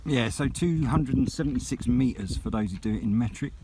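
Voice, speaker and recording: A man's voice: mid-range voice, fairly quick, heavy background noise. Casual Englishman vlogging with a CB radio. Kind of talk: casual vlog chat and radio exchanges. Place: outdoors on a hilltop.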